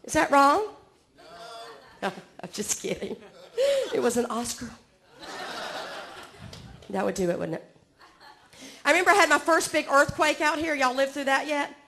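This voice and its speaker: A woman's voice: sits high, moderate pace, pauses often, sharp tone. A woman in her forties from Georgia with a Southern accent, doing a self-mocking stand-up set on a comedy club stage and chatting with the crowd. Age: 40s.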